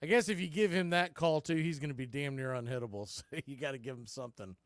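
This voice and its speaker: A man's voice: medium pitch, fast, no echo, studio quality. An American man calling live baseball play-by-play for radio, in clipped phrases with conversational asides.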